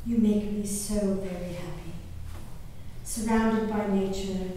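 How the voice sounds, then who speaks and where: A woman performing a dramatic monologue as a genteel, devoted, anxious colonial wife and mother, in a theatrical, measured manner. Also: medium pitch, fairly even intonation, mellow, natural voice. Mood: tender, wistful. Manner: theatrical, measured, with exclamations and pauses. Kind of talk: dramatic stage monologue reading of a diary. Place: reverberant performance hall. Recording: echoey hall from far off, background noise.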